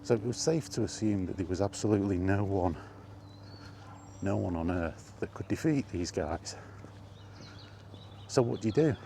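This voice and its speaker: Male vlog host, deep-pitched, conversational and casual, talking straight through with asides, faint ambient noise in the background. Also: moderate pace, creaky, long pauses, warm tone. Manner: conversational, rhetorical questions and asides. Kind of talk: casual film chat monologue.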